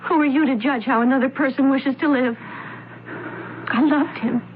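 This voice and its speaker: An actress playing a bitter, cold young stepsister bent on revenge in a radio drama, intense and menacing. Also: female voice, high voice, steady tone, a little slow. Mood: bitter, hardened. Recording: muffled, noisy old radio broadcast.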